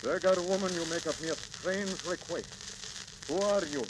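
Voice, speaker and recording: A man's voice: high voice, moderate pace, lightly acted. An actor playing a grieving, commanding medieval king in a solemn, declamatory 1930s radio drama style. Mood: moved, commanding. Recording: crackly, hissing 1930s transcription disc.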